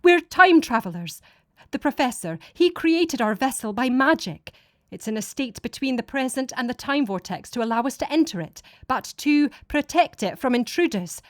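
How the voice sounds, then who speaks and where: A frightened young adventurer, acted by a woman in an audiobook. The voice is nervous and pleading, lifted high in pitch. The recording is dry. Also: big pitch swings, normal speed, no echo. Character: frightened, desperate companion of a time traveller. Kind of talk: dramatic audiobook character voice.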